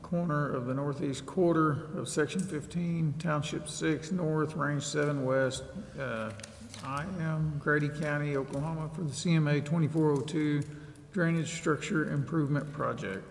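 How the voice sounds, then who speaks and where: A man with a gravelly, somewhat high voice reads a motion in a council chamber. His voice echoes around a long hall, and he pauses to fill gaps as he reads. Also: fairly even intonation. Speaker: council member making a motion. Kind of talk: formal meeting procedure.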